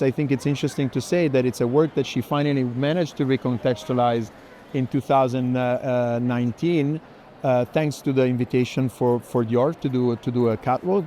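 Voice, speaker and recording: Mellow Italian man hosting, thinking aloud. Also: medium pitch. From Florence, Italy. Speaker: host, curator. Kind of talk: conversational interview during a fair tour. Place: indoor art fair, on the move. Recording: light ambient noise of a busy fair.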